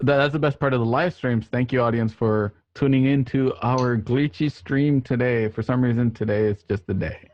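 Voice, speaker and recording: A man's voice: medium pitch, normal speed, few pauses, dry recording. A man casually hosting and directing a live online read, on a dull-sounding call. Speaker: host directing the live stream. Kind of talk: casual chat.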